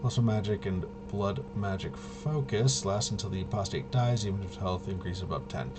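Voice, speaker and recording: A man hosting a livestream with casual, unscripted commentary, talking in a halting, rambling way and often trailing off mid-sentence. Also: deep voice, loud music behind the voice. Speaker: livestream host. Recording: noisy, with clear background hum.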